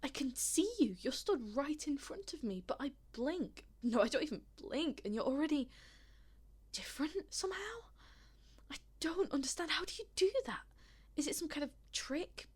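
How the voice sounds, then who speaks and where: A woman's voice: medium pitch, lively intonation, moderate pace, creaky, a little mellow. A woman playing an indignant, sarcastic young witness in an audio drama, speaking in a colloquial, exasperated way. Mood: flustered, bewildered. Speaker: voice actor in a fan audio drama. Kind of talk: audio drama acting, conversational monologue.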